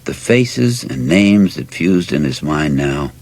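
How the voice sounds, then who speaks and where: A man narrating a story in a measured, reflective, dramatic style with a husky voice. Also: rumbling low, animated, darkish tone, no room sound. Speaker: audiobook narrator.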